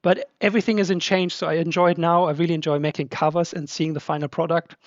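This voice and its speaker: A man's voice: fairly high pitch, little pitch movement, fast, no echo, dull audio. A podcast guest who is a man, English not his first language, talking casually and chattily with many fillers. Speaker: podcast guest, translator and publisher. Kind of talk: casual conversation.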